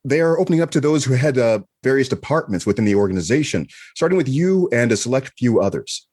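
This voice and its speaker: A man acting a calm, benevolent space admiral with a husky voice and a reassuring manner in an audio drama. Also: medium pitch. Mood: reassuring, congratulatory. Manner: measured, reassuring.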